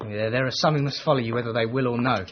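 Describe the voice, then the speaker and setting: An actor playing a dutiful, disapproving Edwardian manservant in a radio drama, formal and dry. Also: male voice, medium pitch, steady tone, racing, lo-fi sound, a little hiss or hum, close to an everyday voice. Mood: stiff, reproachful. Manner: formal, dry, deferential but reproachful. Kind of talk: BBC radio period drama, letter narration.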